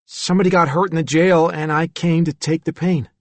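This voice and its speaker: A man's voice: on the high side, fairly even intonation, brisk, no echo, voice pushed up, natural voice. Storybook role: scared little boy played by a man, fragile, childlike, voice raised, dry close sound. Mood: pained, earnest. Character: frightened, fragile young boy keeping secrets.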